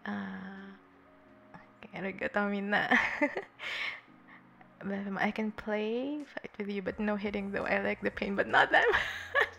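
This is a young woman chatting slowly to her stream while she sketches, with a gravelly fry running through her voice. She giggles often and wanders through her sentences with fillers, speaking close to a desktop microphone at home. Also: medium pitch, fairly even intonation, bright, forceful tone. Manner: giggly, rambling with many fillers. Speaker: streamer drawing live. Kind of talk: casual chat while drawing. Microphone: desktop USB microphone.

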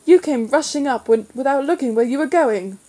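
A spirited young schoolgirl from a storybook, voiced by a woman reader. She is sensitive and plaintive, protesting in a raised pitch. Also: animated, brisk, mellow. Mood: upset protest.

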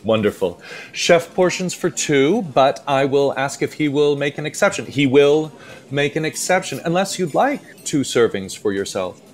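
The waiter in a radio comedy who recites the specials grandly, voice swooping, then fusses and flusters at your table. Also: male voice, fairly high pitch, very animated, normal speed. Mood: fussy, insistent. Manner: pompous, florid, stammering. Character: fussy, pretentious, flustered male steakhouse waiter. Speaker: actor playing a waiter. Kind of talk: radio play comedy acting.

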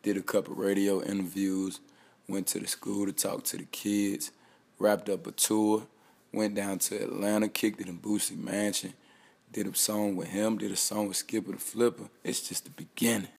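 A man narrates a voice-over recap in a plain, matter-of-fact way. His voice sits low and gravelly. He keeps an even, flat tone throughout. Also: normal speed.

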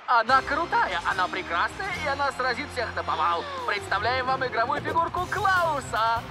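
A performer voicing an excitable cartoon presenter who hypes action figures in a toy advert. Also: high-pitched, normal speed. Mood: salesy enthusiasm. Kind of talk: animated cartoon dubbing, promotional presenting. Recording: music playing behind the voice.

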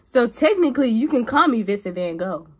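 A woman's voice: on the high side, very animated, brisk, slight background noise. A woman in her twenties talking casually to a phone camera while doing her makeup in a small bedroom, giving blunt big-sister advice. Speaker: vlogger. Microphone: phone camera at arm's length.

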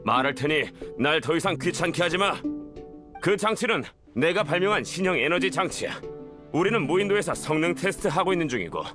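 Man voicing a high-pitched, haughty young villain. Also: lively intonation, fairly quick, over music, close to an everyday voice. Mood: dismissive. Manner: haughty, dismissive, snappish. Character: arrogant, irritable young male inventor villain. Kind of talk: video game voice acting.

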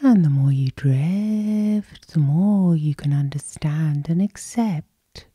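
A woman softly guiding listeners through sleep hypnosis in a breathy, whispery, lulling ASMR style. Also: low voice, no echo. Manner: soft, slow, lulling. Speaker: hypnosis session host.

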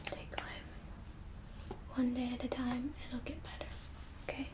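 A young woman doing an ASMR role play in a soothing, reassuring, breathy whisper, close to the microphone in a room. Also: fairly high pitch, level tone, measured pace, noisy background. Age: young adult. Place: small indoor room.

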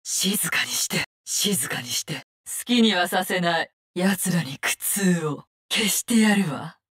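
An actress playing a cold, vengeful poison-wielding assassin in a Japanese-dubbed video game, speaking in curt, contemptuous, commanding lines. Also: female voice, fairly low pitch, expressive, slow pace, a bit bright, breathy edge, full character voice. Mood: menacing.

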